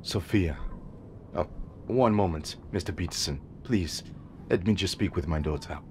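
An actor playing a gentle sailor father who patiently coaxes his frightened daughter. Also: low voice, normal speed, a little hiss or hum, lightly acted. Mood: coaxing. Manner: soft, patient and coaxing. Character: tender seafaring father coaxing his scared daughter.

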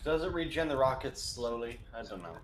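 A man in his thirties streams a game through a headset, tossing off dry, wry remarks. His voice sits mid-range, and the recording sounds roomy with steady noise under it. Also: mid-range voice, fairly quick. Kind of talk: casual gaming chat.

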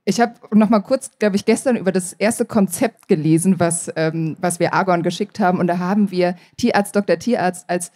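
A woman's voice: on the low side, moderate pace, few pauses, dark tone. A woman in her thirties, an author talking conversationally as a guest in a live stage interview. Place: stage at a book fair. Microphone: handheld microphone.

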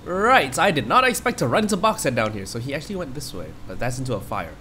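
A man's voice: medium pitch, sing-song, fast, pushed and bright, a little hiss or hum. A man with a stuffy nose from a cold, giving rambling, reactive commentary while he plays a horror game and talks to the screen. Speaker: let's play host. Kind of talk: casual gameplay commentary.

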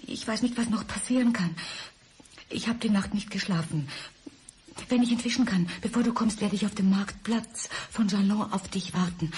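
Actress as uneasy, hesitant woman, medium pitch. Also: darkish tone, slight background noise, natural voice. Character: uneasy, guarded woman afraid of her unstable ex. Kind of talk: radio crime drama acting.

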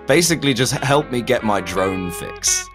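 A man's voice: medium pitch, expressive, normal speed, over music. A man, a podcast narrator, making an informal promotional appeal in a droll manner. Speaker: podcast narrator and sound designer.

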